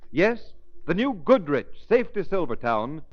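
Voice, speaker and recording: A man announces in formal 1930s radio commercial style. His fairly high voice moves emphatically up and down like a salesman's. The vintage recording sounds dull and muffled. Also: big pitch swings, moderate pace.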